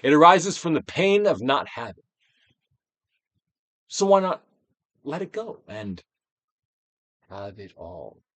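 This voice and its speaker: A man giving a dramatic, theatrical reading of a self-help book, emphatic, with swells and hushed drops. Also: fairly high pitch, sing-song, slow pace, long pauses, no echo. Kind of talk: theatrical dramatic reading of scripted instructional text.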